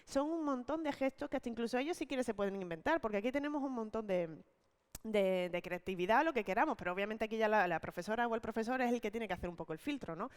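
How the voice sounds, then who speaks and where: A woman leading an online teacher-training talk, explaining step by step and often checking in with quick tag questions. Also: on the high side, expressive, clean tone. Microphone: video call.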